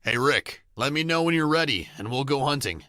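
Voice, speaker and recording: A man's voice: medium pitch, expressive, brisk, bright, forceful tone, no echo, clean studio sound. A man voicing a hot-headed, defensive survivor in a comic dub. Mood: tense.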